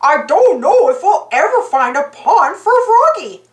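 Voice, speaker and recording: A woman's voice: very high voice, sing-song, a little slow, echoey room, full character voice. A woman voicing a bumbling, good-natured male cartoon dog in a children's storybook. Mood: puzzled, concerned.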